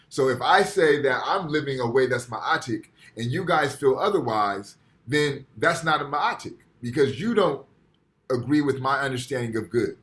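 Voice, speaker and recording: A man's voice: medium pitch, a bit bright. Man lecturing, tagging "right?" on points. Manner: explanatory, frequent "right?" check-ins. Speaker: university lecturer. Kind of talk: online lecture. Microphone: computer mic on video call.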